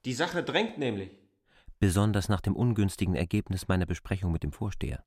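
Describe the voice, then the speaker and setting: An actor playing an insistent, polite but stubborn man in a literary radio drama, speaking formally and deliberately as he argues his case. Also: fairly low pitch, expressive, a little mellow, dry recording, studio quality. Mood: polite refusal.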